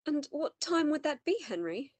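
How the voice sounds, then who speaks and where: A woman playing an earnest, worried, caring gentlewoman in a radio play. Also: high-pitched, big pitch swings, normal speed, no echo.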